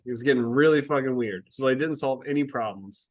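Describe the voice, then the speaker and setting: A man co-hosting a casual book review podcast, talking in an animated, exasperated way and swearing casually. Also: mid-range voice, fairly quick, no room sound. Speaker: podcast co-host.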